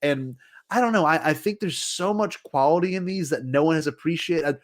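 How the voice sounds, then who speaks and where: A man's voice: on the high side, brisk. A man co-hosting a podcast in casual fan discussion, speaking enthusiastically and emphatically with plenty of slang. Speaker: podcast co-host.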